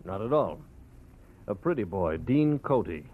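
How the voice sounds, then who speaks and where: A man's voice: on the low side, sing-song, a little slow. An actor playing a cool, mocking male nightclub gangster in a 1940s radio drama. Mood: cold. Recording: tinny phone-like old radio recording.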